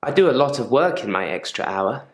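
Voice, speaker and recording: A man acts out an unsettling male patient confiding in his therapist in a game drama. His mid-range voice is measured and self-controlled, politely probing with a quiet menace. The room around him rings with noticeable echo. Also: medium pitch, very animated, lightly acted. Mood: quietly eerie. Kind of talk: live-action game drama monologue.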